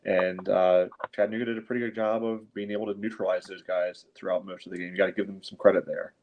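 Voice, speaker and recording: A man's voice: sits low, flat delivery, fast talker, highs cut off. A man giving casual sports analysis as a podcast panelist, explaining things in a chatty, conversational way. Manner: explanatory, peppered with "you know".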